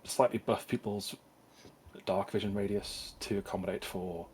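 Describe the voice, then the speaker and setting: A man acting as dungeon master, talking through game updates in a halting way with frequent fillers. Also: lowish voice, steady tone, a little mellow, slight background noise. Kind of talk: casual tabletop game talk.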